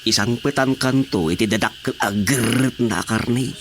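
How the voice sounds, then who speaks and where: Actor as easygoing, evasive husband, mid pitch. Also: male voice, a little slow, a bit bright, faint music behind, natural voice. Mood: casual, defensive. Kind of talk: comedy radio drama acting.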